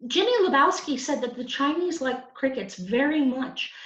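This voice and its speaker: An eager young boy from a storybook, voiced by a woman reader, polite and earnest. The voice sits high and lilts freely, with a pleading edge. It sounds roomy and slightly muffled. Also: lively intonation, normal speed, fairly bright tone. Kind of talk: children's storybook character reading.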